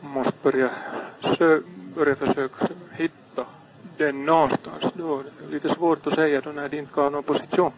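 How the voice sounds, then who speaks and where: Calm man answering on marine radio. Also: on the high side. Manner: calm and businesslike, repeats back figures. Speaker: ship radio operator. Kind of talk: radio procedure talk. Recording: muffled archive marine radio.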